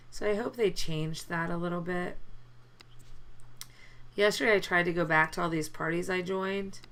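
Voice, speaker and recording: A woman commenting casually on a mobile game as she plays, her voice deepened and gravelly from a chest cold, chatty with many fillers. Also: fairly bright tone, slight background noise. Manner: chatty, mildly complaining, lots of fillers. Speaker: let's play gamer.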